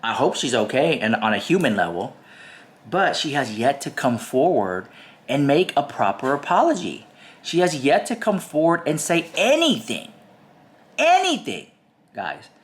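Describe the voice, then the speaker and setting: A man's voice: fairly high pitch, sing-song, a little slow. A man with a stuffy cold, chatting to viewers in a gossip commentary video and repeating himself for emphasis. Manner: chatty, addresses viewers directly, emphatic repetition. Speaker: YouTube commentary host. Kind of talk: casual gossip commentary to camera.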